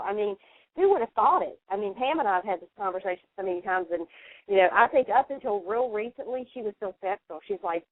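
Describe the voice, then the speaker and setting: A woman's voice: mid-range voice, no echo. Chatty woman on a thin phone line. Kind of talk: casual conversation. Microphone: telephone call. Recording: narrow, tinny telephone line.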